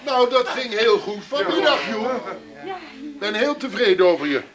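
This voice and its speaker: An actor playing a gushing, patronising therapy group leader in a radio play, coaxing with repeated encouragement. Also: male voice, very high-pitched, lively intonation, normal speed, crowd noise. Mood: exhorting, gushing. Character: gushing, patronising male therapy group guru, pushy and soothing.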